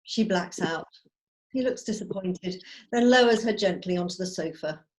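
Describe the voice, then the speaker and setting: A woman's voice: lowish voice, moderate pace, darkish tone, rough recording. A woman giving scripted narration, reading out stage directions on a video call.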